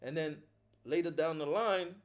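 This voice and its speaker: A man's voice: on the high side, moderate pace. A middle-aged man, a film producer talking casually and reflectively as an interview guest, using street slang. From Haiti.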